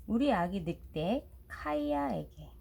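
Female storyteller, middle-aged: unhurried and very animated picture-book narration for young children. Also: mid-range voice, creaky, slight background noise. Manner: deliberate, uses expressive sound words.

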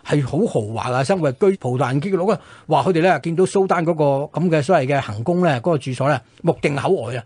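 A man's voice: a little high, quick pace, few pauses, a little mellow, husky, no room sound. A man with a Hong Kong Cantonese accent telling history conversationally as a radio co-host, explaining with emphatic turns of phrase.

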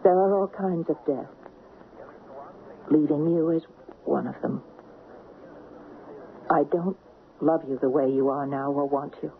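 An actress playing an anguished, fervent young woman in love in a radio drama, with dramatic, deliberate delivery. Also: low voice, creaky. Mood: solemn, resolute. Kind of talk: 1970s radio drama acting. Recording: thin phone-like 1970s radio, faint noise.

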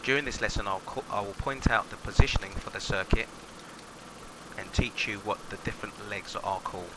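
A man's voice: on the low side, a little fast. A man speaking as a flight instructor and pilot in a flight-simulator lesson, making radio calls. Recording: engine noise behind the voice. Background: aircraft engine drone from simulator.

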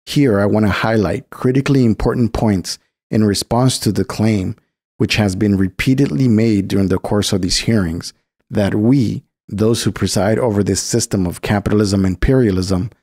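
A man with a low voice, recorded dry and close, narrates a political essay. His delivery is deliberate and emphatic, clearly read from a script. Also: a little mellow, dry recording. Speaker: program narrator.

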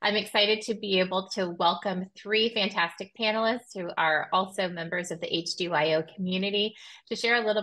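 A woman in her thirties hosting an online panel, introducing the guests and asking them questions in a measured way over a video call. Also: mid-range voice, bright, forceful tone. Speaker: host, panel moderator. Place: home room. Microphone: video call with earbuds.

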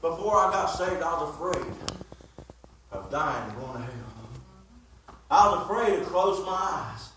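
A man in his fifties delivers a sermon in a high, ringing voice, stringing his thoughts together with constant "uh"s and folksy refrains. He is caught from the back of the church on a phone, so the sound is distant and heavily echoey. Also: expressive, a little slow, pauses often, a bit bright, slight background noise. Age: 50s. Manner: heavy "uh" fillers, repeated refrains, plain folksy grammar. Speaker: preacher. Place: church sanctuary, mid-sized indoor room. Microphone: phone camera from the pews, far from speaker. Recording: echoey church heard from far off.

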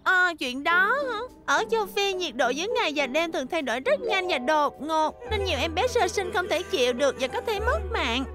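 Earnest little girl, cartoon dub, very high voice, music behind. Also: animated, moderate pace, few pauses. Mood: earnest, helpful. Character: sweet, earnest, admiring little schoolgirl. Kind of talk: cartoon dubbing.

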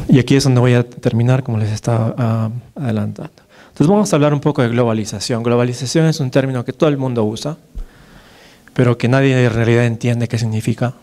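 Peruvian man lecturing with a mellow voice. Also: mid-range voice. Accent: Peruvian Spanish. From Peru. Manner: conversational, many fillers and tag questions. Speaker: neurologist giving a lecture. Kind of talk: conference lecture. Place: large dark lecture hall with projector. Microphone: podium microphone, far camera.

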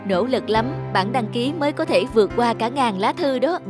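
A man's voice: very high-pitched, lively intonation, moderate pace. A suave cartoon host of a dating show. He presents in a formal, showy manner and coaxes persuasively. Music plays behind his voice. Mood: coaxing.

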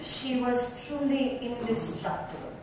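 A woman's voice: on the high side, measured pace. A woman giving a dramatic scripted reading of a book, seated in a lounge. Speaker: reader. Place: club lounge, seated in a row. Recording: distant echoey room, faint noise.

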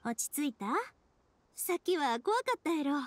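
A woman playing a caring, cheerful young big-sister figure with a Kansai accent, gentle and reassuring, in an anime game. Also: high-pitched, lively intonation, moderate pace, a little hiss or hum. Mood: gentle, soothing.

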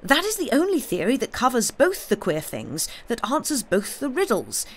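A man reading an audiobook, voicing a high-strung, agitated young male curate who speaks in an excitable, stammering, unsteady way. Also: normal speed. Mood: feverish. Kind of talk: audiobook character dialogue.

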